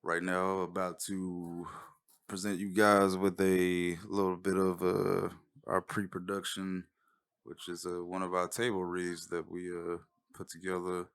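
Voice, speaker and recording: A man giving a casual introduction as a writer-director, speaking in a hesitant, halting way. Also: low-pitched, completely flat delivery, clean tone. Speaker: writer-director presenting a recording.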